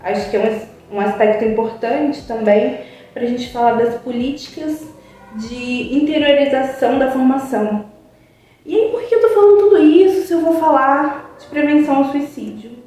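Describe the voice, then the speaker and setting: A woman's voice: a little high, mellow. Earnest woman psychologist in an echoey room. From Brazil. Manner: earnest, reflective, many "é" fillers. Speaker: psychologist guest. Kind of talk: informal spoken talk to a web TV channel. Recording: echoey room, distant mic, faint music.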